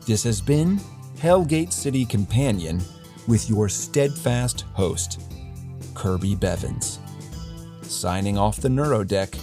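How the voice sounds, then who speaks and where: A man playing a pompous, deadpan host of a satirical dystopian radio newscast, with florid, arch delivery. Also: fairly low pitch, slow pace. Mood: arch, theatrical. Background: music.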